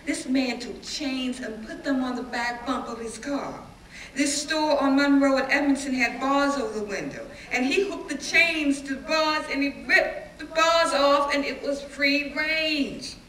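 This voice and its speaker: A woman performing a dramatic stage reading as a defiant, plain-spoken schoolteacher recalling riots, with animated, emphatic storytelling and dramatic pauses. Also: high-pitched, far from the mic. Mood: animated, urgent. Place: echoey indoor room, live reading before an audience. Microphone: distant microphone. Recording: roomy, noisy hall recording from afar.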